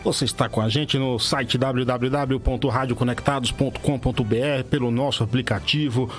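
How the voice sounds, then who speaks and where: A man's voice: medium pitch, steady tone, moderate pace, soft background music. Enthusiastic male radio host. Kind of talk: radio interview hosting.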